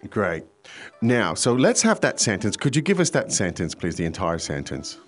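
A man's voice: fairly low pitch, normal speed, soft background music. A man hosting a podcast language lesson, explaining at an easy pace with frequent hesitations. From foreign to China. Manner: relaxed, explanatory, many hesitations. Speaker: language teacher host.

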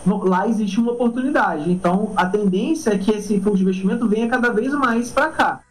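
Relaxed man, filler-heavy interview talk. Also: high voice, a little fast, low-quality recording. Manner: relaxed, many fillers and tag questions. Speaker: startup cofounder interviewee. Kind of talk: casual interview answer.

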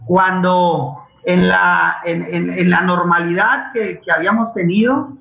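A Colombian man speaking as a guest in an impassioned conversational interview, halting and repeating words as he searches for them. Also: high-pitched, fairly even intonation, gravelly, pushed and bright. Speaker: guest playwright and actor. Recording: dull lo-fi roomy online call.

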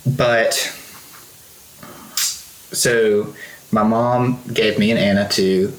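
A man from Russia, a podcast guest, telling his life story in a relaxed, rambling way with many fillers and restarts. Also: lowish voice, drawn-out words. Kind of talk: casual storytelling chat. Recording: roomy echo.

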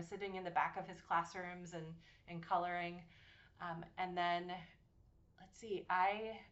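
A woman in her forties from Massachusetts, co-hosting a podcast and telling her story with earnest, warm detail and frequent ums. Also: lowish voice, dull audio. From Massachusetts, USA. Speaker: podcast co-host, university program director. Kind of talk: casual podcast chat.

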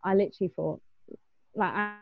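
A woman's voice: mid-range voice, steady tone, fairly quick, creaky, over music. Young English woman, thin phone-like sound. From England. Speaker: participant sharing personal experiences in a group discussion. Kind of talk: casual personal recollection.

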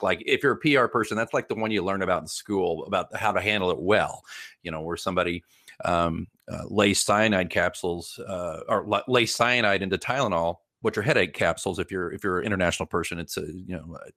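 Male consultant interviewed, low register, dry close sound, emphatic, self-correcting, conversational explainer. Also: a bit bright, dry recording. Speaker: guest PR crisis consultant. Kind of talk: informal interview explanation with anecdotes.

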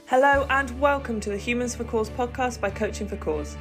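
A woman's voice: on the high side, brisk, faint music behind. A young woman from the UK hosting a podcast, chatty and friendly with lots of ums. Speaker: podcast host.

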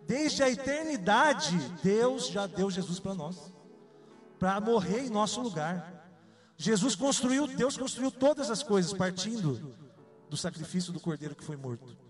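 High-voiced man preaching a relaxed sermon. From Salvador, Bahia, Brazil. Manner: conversational, asks the audience questions.

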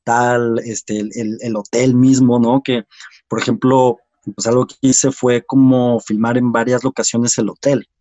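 Mexican male director, halting interview talk; dark timbre, dull close recording. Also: medium pitch, little pitch movement, no echo. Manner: halting, many restarts and fillers. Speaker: film director.

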